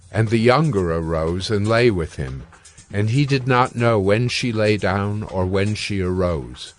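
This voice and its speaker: A man narrating scripture from a script in a measured, solemn manner. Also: fairly low pitch.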